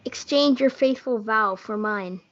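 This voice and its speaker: A woman's voice: a little high, unhurried, fairly bright tone. A young woman reading an earnest, lovestruck young man in amateur reader's theatre. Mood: smitten. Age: teenager. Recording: dull lo-fi audio.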